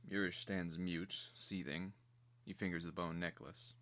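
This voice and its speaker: Casting: male narrator for fantasy audio drama, very low voice with a forceful edge, measured and theatrical. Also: flat delivery.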